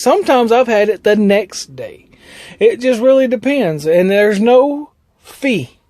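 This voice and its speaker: High-voiced folksy trucker man. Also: darkish tone, no room sound. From United States. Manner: folksy, plainspoken, meandering. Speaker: owner-operator truck driver. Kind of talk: casual vlog talk.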